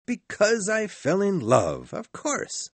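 A man voicing a cheerful, warm-hearted young nephew in a storybook, earnest and exclaiming as he pleads with his uncle. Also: high voice, huge pitch swings, takes it slowly, dry recording, pitched above the performer's own voice, full character voice. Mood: surprised, pleading. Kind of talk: storybook character voice.

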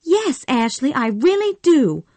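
Woman putting on an impulsive young woman, high-pitched, pleading, slow storybook pace. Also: sing-song, no room sound. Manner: pleading and tearful, high and bright.

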